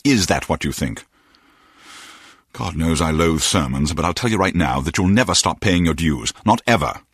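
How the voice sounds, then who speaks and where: A veteran secret agent for a spy game, an older mentor with a very low, husky voice. He is wry and dry-witted, with an expressive swing in his delivery. The sound is close and dry. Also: normal speed, no echo, full character voice. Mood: stern, fatherly. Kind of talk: video game voice acting.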